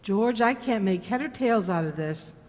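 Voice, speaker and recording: A woman playing a detective's inquisitive, loyal and excitable assistant in an old-time radio drama, with dramatic, questioning delivery. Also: mid-range voice, moderate pace, close to an everyday voice. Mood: puzzled. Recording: reverberant hall, stage-like sound.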